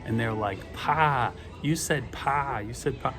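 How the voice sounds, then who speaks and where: A man's voice: medium pitch, takes it slowly. Boston guy rambling thru a story, dry humor, middle-aged, music in the back. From Boston, USA. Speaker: vlogger. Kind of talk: casual storytelling monologue. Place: airport terminal with gate announcements. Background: music and airport PA announcements.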